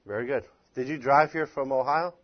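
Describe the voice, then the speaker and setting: A man's voice: medium pitch, fairly quick, no room sound, highs cut off. A man teaching a class informally, addressing the group in a folksy, teasing, encouraging way. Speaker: instructor leading a church-planting class.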